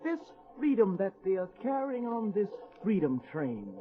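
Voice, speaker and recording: Earnest American everyman, high-pitched, folksy dialect, rhythmic theatrical recitation, old-time radio drama, dull recording over music. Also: big pitch swings, measured pace. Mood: pained questioning.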